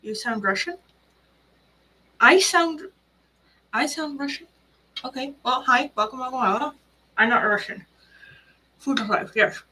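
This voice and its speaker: Bright-voiced bubbly woman streamer. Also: high-pitched, a little slow, pauses often, slightly rough, poor audio. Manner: chatty, bubbly, rambling with many fillers. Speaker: tarot live streamer. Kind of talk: casual live stream chat.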